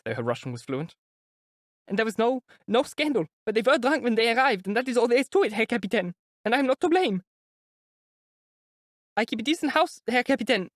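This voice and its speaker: A reader voicing an excitable, indignant German landlady with a German accent, rattling out her complaints. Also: mid-range voice, dry recording, studio quality, voice pushed up, close to an everyday voice. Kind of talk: audiobook character voice.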